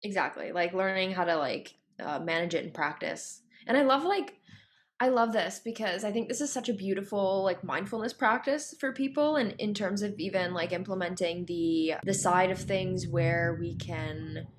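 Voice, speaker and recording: A woman's voice: fairly low pitch, normal speed, slight background noise. A woman hosting a casual podcast interview, enthusiastic and affirming toward her guest. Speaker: podcast host.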